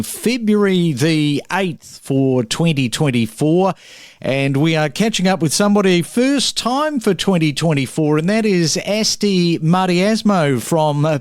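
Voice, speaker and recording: A man's voice: a little high, no echo, studio quality. A man, hosting and interviewing on a breakfast podcast. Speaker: podcast host.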